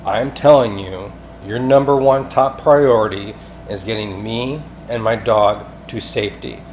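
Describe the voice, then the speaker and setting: A low-pitched man speaks in a rambling, insistent monologue, as a caller leaving a voicemail. He is agitated and repeats himself, with some profanity. Clear noise runs through the recording. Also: a little slow, darkish tone. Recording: clearly noisy amateur phone-call recording.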